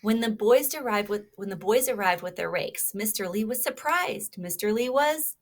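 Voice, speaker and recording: A woman's voice: mid-range voice, lively intonation, moderate pace. A woman reading a children's story aloud expressively, stopping to prompt her young listeners with questions. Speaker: storybook reader.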